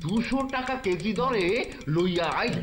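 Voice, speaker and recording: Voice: medium pitch, normal speed, close to an everyday voice. An actor voicing a blunt, mocking villager from Bangladesh in a cartoon, with a thick East Bengali accent. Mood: emphatic. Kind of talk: animated cartoon character acting. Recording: music behind the voice.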